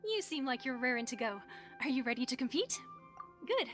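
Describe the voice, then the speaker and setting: High-voiced, polite tournament attendant. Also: female voice, sing-song, brisk, fairly bright tone, soft background music. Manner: courteous, formal. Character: polite, composed young female tournament crew attendant. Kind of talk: video game voice acting.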